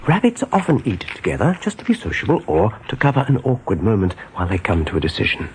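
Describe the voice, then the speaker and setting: A man narrating a radio drama in a measured, scripted storytelling style. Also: lowish voice, wildly swooping pitch, slight background noise.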